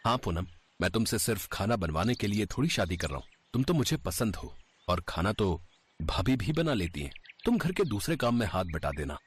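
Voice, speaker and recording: A man's voice: fairly low pitch, sing-song, brisk, breathy edge. A man playing an easygoing, affectionate young husband with a reassuring, wry manner in a cartoon story.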